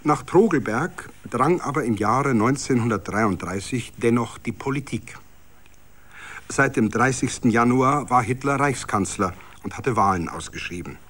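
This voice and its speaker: A man's voice: mid-range voice, lively intonation, unhurried, fairly bright tone. Male radio storyteller. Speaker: narrator.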